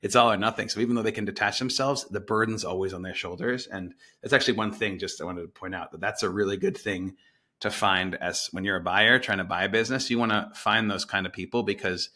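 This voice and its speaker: A man's voice: fairly low pitch, steady tone, fast, pushed and bright. A man in his late thirties chatting casually as an interview guest, repeating words for emphasis and voicing other people's lines. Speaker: interview guest, business broker. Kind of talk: casual business interview chat.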